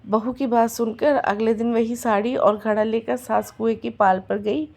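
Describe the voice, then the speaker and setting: A hoarse woman telling a devotional folk tale and chanting rhythmic verse refrains. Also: on the high side, steady tone, normal speed, a little mellow. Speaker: devotional storyteller.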